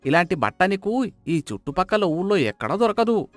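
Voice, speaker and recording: A man's voice: high voice, big pitch swings, normal speed, soft background music. A man as a sly miser merchant, swooping delivery. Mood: sly, wheedling. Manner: wheedling, exclamatory. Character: sly, miserly male cloth merchant, wheedling and self-justifying. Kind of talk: acted moral story.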